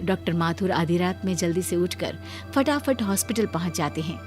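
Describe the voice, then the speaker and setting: A woman's voice: fairly low pitch, expressive, normal speed, over music. A woman reading scripted narration as a storyteller.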